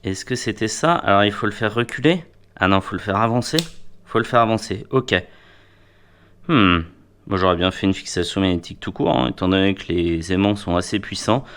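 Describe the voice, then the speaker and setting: A man with a fairly low voice presents a product in a casual, hands-on way. His delivery is wry and playful, and he often mutters to himself or addresses the gear as he goes. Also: a little fast, a bit bright, a little hiss or hum. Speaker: product reviewer.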